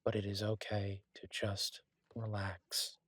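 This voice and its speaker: A man playing a devoted, easygoing husband who cooks, talking in a casual, halting, reassuring way in an intimate audio roleplay. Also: fairly low pitch, monotone, a bit bright, husky, dry recording, exaggerated voice. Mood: tender, reassuring. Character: devoted, easygoing husband and cook, gently teasing. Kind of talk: intimate one-sided audio roleplay, conversational.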